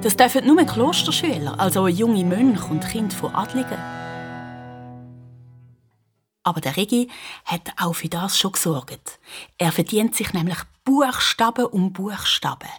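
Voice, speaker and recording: A woman's voice: medium pitch, lively intonation, moderate pace, faint music behind, lightly acted. A woman narrating a children's radio play with a Swiss German accent, explaining things like an engaging storyteller. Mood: chatty storytelling.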